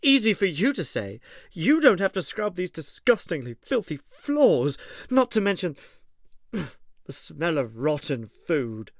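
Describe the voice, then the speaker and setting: A timid, genteel plant-man, voiced by a male actor, talks primly and trips over his words. His voice is high and swoops expressively, recorded dry and close in an audio drama style. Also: big pitch swings, a little slow, no room sound. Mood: indignant. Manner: prim, flustered, stammering. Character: fussy, genteel, timid plant-man, tea-party lover. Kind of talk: scripted audio drama acting.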